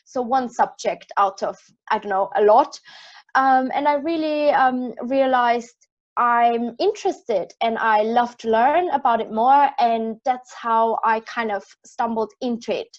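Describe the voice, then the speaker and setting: A woman's voice: on the high side, a little slow, highs cut off. A young woman from Austria with an Austrian accent, explaining her career in a friendly, organized way as a panelist on a live online panel. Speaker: project manager, panelist.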